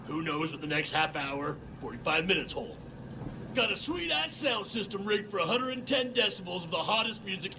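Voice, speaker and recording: A man's voice: sits high, noisy background. A man playing a goofy, reckless pyromaniac slacker in a video game, rambling on in chummy slang. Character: goofy, reckless male pyromaniac redneck slacker with grandiose talk. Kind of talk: comic game cutscene monologue.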